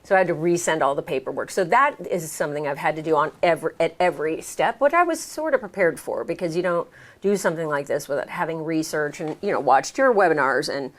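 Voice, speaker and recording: An American woman with a slightly low voice talks as a guest in a casual interview. She recounts her experiences in a chatty, expressive way, often acting out what was said. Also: fast. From United States. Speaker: interview guest, American who moved to France. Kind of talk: casual conversational storytelling.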